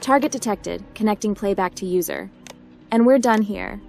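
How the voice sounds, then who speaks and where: Woman doing a young hacker girl hero in a game roleplay, plucky, bit of fry in the voice, music behind. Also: medium pitch, normal speed, darkish tone, lightly acted. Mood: focused, self-talk. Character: young female hacker adventurer, plucky and self-reassuring. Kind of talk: roleplay game protagonist dialogue.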